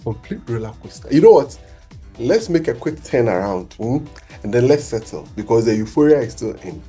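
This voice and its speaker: A man hosting a casual football chat show, excitable and animated, quick to laugh. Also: medium pitch, husky, faint music behind. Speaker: podcast host.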